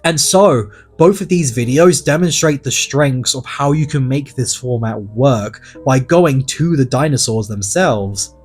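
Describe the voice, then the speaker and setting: A man's voice: mid-range voice, unhurried, slightly rough. A man narrating a scripted video essay in an earnest way, leaning on words for dramatic emphasis. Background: background music.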